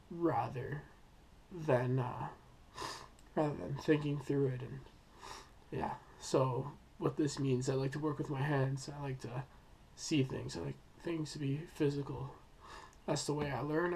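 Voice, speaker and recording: A young man who is sick and losing his voice, giving an unscripted school presentation with many pauses and fillers. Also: on the high side, darkish tone, a little hiss or hum, low-quality recording. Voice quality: hoarse. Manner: hesitant, many ums and uhs, thinking aloud. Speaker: student presenting a school project.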